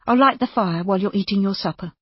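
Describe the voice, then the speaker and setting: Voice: medium pitch, quick pace, husky, no echo, lo-fi sound, voice pushed up, close to an everyday voice. A narrator voicing an anxious, kindly young innkeeper woman in audiobook dialogue, earnest and reassuring. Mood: gentle, practical.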